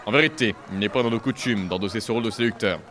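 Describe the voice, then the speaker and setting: Male actor as proud grumbling knight, lowish voice, quick pompous archaic delivery, comic audio play. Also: a bit bright, soft background music, lightly acted. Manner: pompous, mock-archaic diction. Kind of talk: comic audio drama acting.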